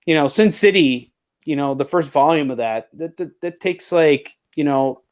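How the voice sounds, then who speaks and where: Higher-voiced man, blunt casual podcast host. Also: moderate pace, darkish tone. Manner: rambling, heavily profane, blunt. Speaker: show host. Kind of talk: casual video chat interview.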